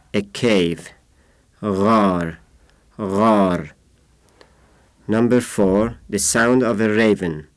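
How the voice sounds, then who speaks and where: A man of thirty-five from Yazd with an Iranian accent, a native Persian speaker, reading out a numbered word list in a deliberate way. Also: fairly low pitch, no room sound. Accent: Iranian (Yazdi). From Yazd, Iran. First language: Persian (Yazdi dialect). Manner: deliberate, isolated words with numbered glosses. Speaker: language informant.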